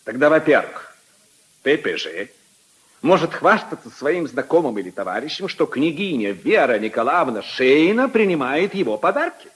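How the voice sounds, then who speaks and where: Pompous indignant aristocrat, animated delivery. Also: male voice, a little high, moderate pace, slightly rough, exaggerated voice. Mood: indignant, scornful. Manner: pompous, emphatic, clipped indignation. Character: haughty, indignant aristocratic assistant prosecutor, brother-in-law of a prince. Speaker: radio drama actor. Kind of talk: 1950s radio drama acting. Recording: 1950s archive radio recording.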